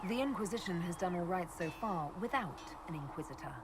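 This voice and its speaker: A woman voicing a composed, skeptical fantasy envoy in a video game, measured and direct in manner. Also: on the low side, animated, natural voice. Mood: questioning. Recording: game audio with clear background noise.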